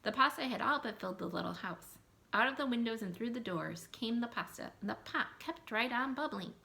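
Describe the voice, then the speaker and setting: A woman reading a picture book aloud to children in an animated, expressive way, adding asides and questions in mock surprise. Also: a little high, a little fast, gravelly. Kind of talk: animated read-aloud storytelling with asides to young listeners.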